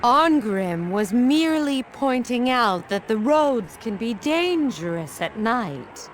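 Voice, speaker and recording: An actress playing a composed, shrewd warrior woman in a video game, measured and politely probing. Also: fairly high pitch, very animated, slight background noise. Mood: calm, pointed. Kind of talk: dubbed video game cutscene acting.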